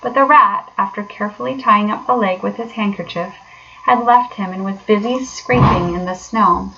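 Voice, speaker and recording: A woman, a teacher reading aloud, giving an expressive narration of a children's story. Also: mid-range voice, normal speed, lo-fi sound, slight background noise.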